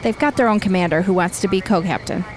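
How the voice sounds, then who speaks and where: A woman's voice: on the low side, fast, a little hiss or hum, lightly acted. An American actress playing a tough, guarded female leader of a neighborhood militia in a naturalistic post-apocalyptic radio drama. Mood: businesslike.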